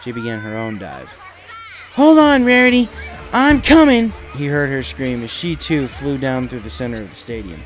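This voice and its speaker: A man's voice: medium pitch, expressive, brisk, few pauses. A man reading a story aloud as dramatic scripted narration. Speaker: fan fiction reader. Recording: music under the voice.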